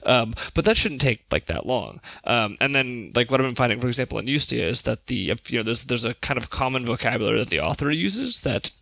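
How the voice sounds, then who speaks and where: A man with a crackly, gravelly edge to a forceful, bright voice. He speaks bluntly and informally in podcast chat, on a dry recording that is slightly dull. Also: medium pitch, expressive, brisk, hardly any pauses, no room sound. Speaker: podcast panelist.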